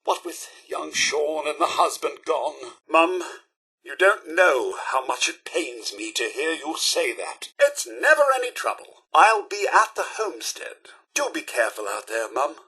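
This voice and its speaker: Fussy british robot butler for a video game, super bright and sharp, pitch bouncing all over, posh manners with some snark. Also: male voice, very animated, a little slow, breathy edge, full character voice, poor audio. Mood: earnest, wounded. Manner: formal, polite, theatrical, sardonic. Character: prim, fussy male robot butler, devoted yet snippy.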